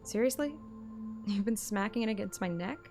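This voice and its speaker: Female story narrator, first-person audiobook read, mid pitch with vocal fry, music bed. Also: lively intonation, normal speed, darkish tone. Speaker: narrator and streamer.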